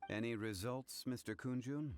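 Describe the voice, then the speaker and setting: A man voicing a composed, formal and commanding gentleman in an anime video game. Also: medium pitch, moderate pace, a little hiss or hum, lightly acted. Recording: game music underneath.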